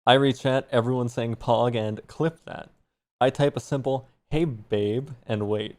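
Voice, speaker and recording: A man's voice: mid-range voice, steady tone, a little slow, a little mellow. Male streamer reading fan fiction aloud.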